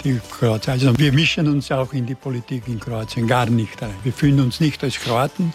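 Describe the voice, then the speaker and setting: Halting elderly man with slight accent. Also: mid-range voice, fairly even intonation, soft background music. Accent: slight Burgenland Croatian. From Burgenland, Austria. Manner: halting, repeats and restarts words. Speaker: interviewee. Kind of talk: conversational interview.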